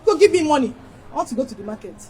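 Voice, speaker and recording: An actress playing a scornful wife who loudly demands money from her injured husband, speaking. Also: sits high, very animated, rapid-fire, a little hiss or hum. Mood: demanding. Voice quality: loud, scornful and nagging.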